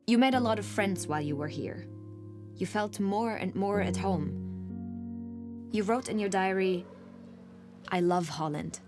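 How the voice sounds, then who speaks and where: A woman's voice: mid-range voice, unhurried, pauses often, over music. A woman presenting a documentary in reflective scripted narration, written like a personal letter.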